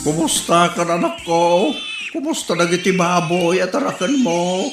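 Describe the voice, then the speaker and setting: A man's voice: sits high, expressive, moderate pace. A man with an Ilocano accent playing a teasing, advice-giving older father in a comedy audio drama. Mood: concerned, probing. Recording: roomy echo, music underneath.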